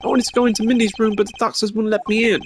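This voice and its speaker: A man voicing a whiny, excitable, dim young murder suspect from a video game, plaintive and over the top, with music underneath. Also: high-pitched, racing, slight background noise, voice pushed up, close to an everyday voice. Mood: defensive pleading. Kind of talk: video game character dub.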